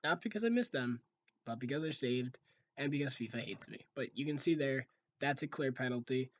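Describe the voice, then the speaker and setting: A young man with a hoarse, fading voice, chatting casually to viewers over football game footage from a room at home. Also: mid-range voice, fairly quick, highs cut off. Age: young adult. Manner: chatty, talks straight to viewers as "guys". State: hoarse, losing his voice. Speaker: gaming video creator. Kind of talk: casual gameplay commentary.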